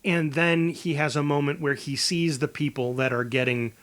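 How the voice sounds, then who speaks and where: A man co-hosting a podcast, talking casually in a halting, rambling way as he improvises a pitch. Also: steady tone, a bit bright. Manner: halting, rambling delivery with false starts. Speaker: podcast co-host.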